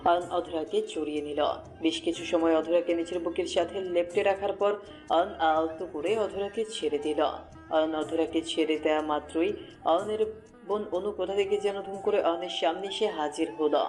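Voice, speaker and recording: Low-voiced woman narrating romance over music. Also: fairly even intonation, moderate pace, a little mellow. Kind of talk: scripted romantic story narration.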